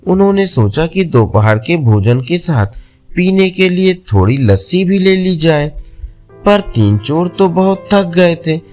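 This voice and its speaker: A man tells a folk tale in a scripted storytelling style. His voice sits a little high and moves with very lively intonation at a slow, measured pace. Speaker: storyteller, narrator.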